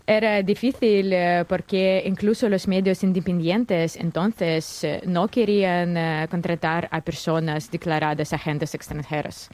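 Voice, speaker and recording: A young Russian woman with a Russian accent, a guest journalist speaking careful, somewhat nervous Spanish with many pauses and fillers. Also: on the low side, steady tone, darkish tone, dry recording. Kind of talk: interview answers.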